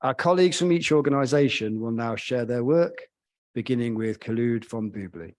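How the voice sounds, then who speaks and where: Man moderating a panel, measured. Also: medium pitch, a little mellow. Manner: measured, formal phrasing.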